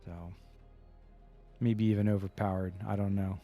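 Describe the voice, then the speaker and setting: A man casting a live strategy game tournament, talking through tactics in a relaxed, thinking-aloud way. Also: on the low side, normal speed, faint music behind. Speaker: esports caster.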